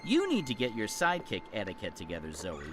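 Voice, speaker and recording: A man's voice: fairly low pitch, huge pitch swings, fast, background noise. A man voicing a wisecracking talking crow in a video game, a cheeky but loyal sidekick with a sarcastic, chatty, theatrical way of talking. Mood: playful bluster. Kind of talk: video game character acting.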